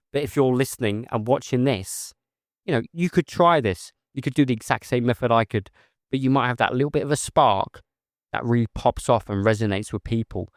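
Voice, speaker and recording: A man talks straight to his viewers in a steady, monotone, settling voice, earnest and a little rambling. His voice sits at an everyday middle pitch. The sound is close and dry, with no room echo. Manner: rambling, earnest, with filler phrases and restarts. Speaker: vlogger, heavy goods mobile mechanic. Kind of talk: casual talk to camera.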